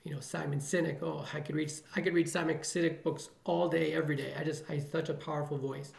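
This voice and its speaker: A man's voice: a little high, level tone, fast talker, gravelly, roomy sound. A middle-aged man from the Chicago area, an emergency physician giving earnest, motivational interview answers and repeating phrases for emphasis.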